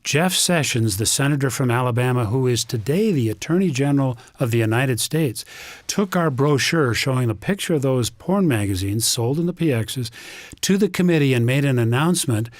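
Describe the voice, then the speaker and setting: A man's voice: medium pitch, animated, moderate pace, no room sound. A man speaking as a guest on a radio talk show, explaining things earnestly. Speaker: interview guest. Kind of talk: conversational radio interview.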